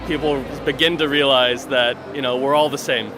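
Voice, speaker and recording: Man, casual interview, flat intonation, middle pitch, clear background music. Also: moderate pace.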